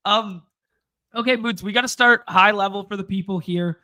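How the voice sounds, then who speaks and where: A man with a head cold sounds nasal and stuffed up as he hosts a casual sports chat. His voice sits high and bright, and he talks in an upbeat, slangy way. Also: normal speed. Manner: upbeat, slangy hype talk. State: congested from a cold. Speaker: podcast host.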